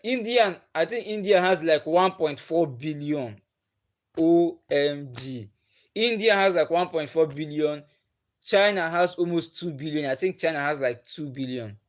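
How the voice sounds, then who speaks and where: A man's voice: a little high, measured pace. A young Nigerian man, a native Igbo speaker, casually chatting with viewers on a livestream and asking them questions. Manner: chatty, laughs often, asks viewers questions. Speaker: livestream host. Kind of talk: casual chat with live viewers. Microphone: phone or webcam on a livestream.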